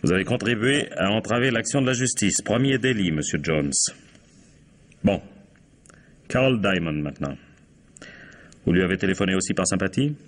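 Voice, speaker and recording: A sardonic male police inspector in a radio crime drama. His voice sits low, and he gives orders brusquely, with dry, authoritative irony. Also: animated, normal speed, pauses often. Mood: stern, ironic.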